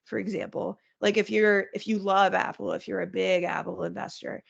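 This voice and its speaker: A woman's voice: mid-range voice, fairly even intonation, normal speed, highs cut off. A woman, nasal from a head cold, explaining markets as a podcast guest in plain conversational terms. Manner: explains in clear plain terms, lists points.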